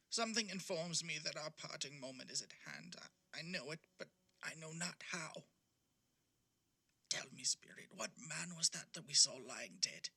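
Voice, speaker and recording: An actor playing a frightened, repentant old miser in an audio play, halting and imploring as he repeats himself. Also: on the high side, bright, forceful tone, slightly rough, exaggerated voice. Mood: anguished, subdued. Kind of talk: theatrical radio-play acting.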